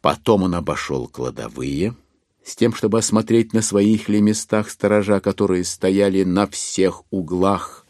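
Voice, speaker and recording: An actor narrating a classic satirical novel in an audio play, as a literary storyteller. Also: male voice, low-pitched, measured pace, darkish tone, no echo, clean studio sound. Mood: wry, descriptive.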